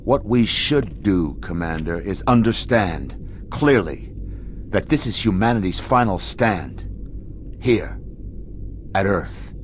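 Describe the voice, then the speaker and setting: Deep-voiced, stern military commander. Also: male voice, expressive, takes it slowly, slight background noise. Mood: grave, insistent. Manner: blunt, authoritative, grave. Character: stern, skeptical older human military commander, weary and defiant. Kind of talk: dramatic video game cutscene acting.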